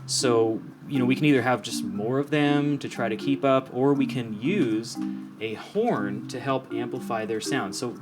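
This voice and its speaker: A man's voice: medium pitch, moderate pace. Casual man explaining things, guitar music behind. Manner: informal, demonstrative, checks understanding. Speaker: audio professional explaining how speakers work.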